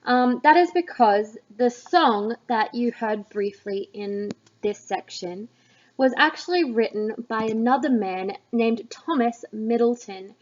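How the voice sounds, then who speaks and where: A woman teacher giving plain, explanatory commentary on a text, as in a lesson. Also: a little high. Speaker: English teacher. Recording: dull, muffled lo-fi recording.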